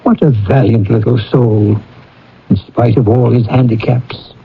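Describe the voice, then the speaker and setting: An actor playing a humbled old miser in a radio drama, halting, earnest and pleading. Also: male voice, mid-range voice, fairly even intonation, creaky, slightly rough, exaggerated voice. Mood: humbled, moved. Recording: muffled vintage radio broadcast.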